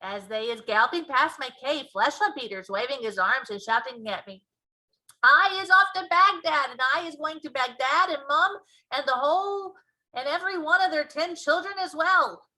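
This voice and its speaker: A woman voicing a big friendly male giant from a children's storybook, excitable and speaking in folksy, muddled grammar. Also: high-pitched, moderate pace, voice pushed up, low-quality recording. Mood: excited. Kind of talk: children's storybook character reading.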